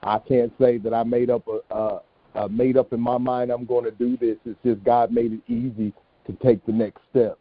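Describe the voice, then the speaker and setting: A man's voice: mid-range voice, lively intonation, normal speed, vocal fry, dry recording. A middle-aged man, a stage actor, giving a candid, reflective interview answer as a guest. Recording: tinny phone-like online call.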